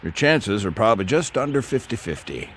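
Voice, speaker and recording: An actor playing a hesitant, matter-of-fact river guide in a radio drama. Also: male voice, lowish voice, very animated, a little fast. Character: laid-back male river guide.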